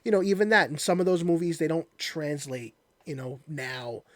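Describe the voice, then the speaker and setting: A man's voice: a little high, normal speed, no echo. A man hosting a casual interview, talking in an agreeable, conversational way. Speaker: podcast host.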